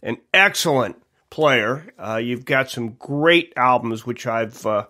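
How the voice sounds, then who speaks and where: A man's voice: mid-range voice, lively intonation, takes it slowly, bright, forceful tone. A middle-aged man from Connecticut, hosting a podcast interview in a hesitant way with many fillers and restarts. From Connecticut, USA. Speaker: podcast host. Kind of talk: casual interview chat.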